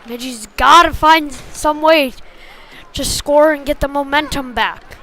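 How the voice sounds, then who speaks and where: A woman calling a youth hockey game live in short, clipped phrases, getting excitable on big plays. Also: high-pitched, a little slow, slight background noise. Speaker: play-by-play hockey commentator. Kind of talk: live sports commentary.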